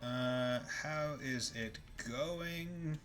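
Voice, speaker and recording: A man's voice: medium pitch, drawn-out words, fairly bright tone. A man streaming a video game with casual, playful commentary, profane and full of jokey asides. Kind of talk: casual live gameplay commentary. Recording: faint game music behind.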